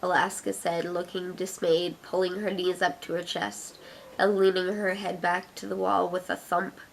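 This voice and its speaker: A woman's voice: on the low side, little pitch movement, normal speed, creaky, a little hiss or hum, low-quality recording. A young woman from Canada with an Ottawa accent, chatting through an accent challenge and reading her own fiction aloud in a giggly way. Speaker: vlogger.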